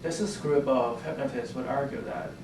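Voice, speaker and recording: A man's voice: mid-range voice, normal speed. A man interviewing a guest, rambling and restarting his questions. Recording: echoey room, far from the mic, noisy.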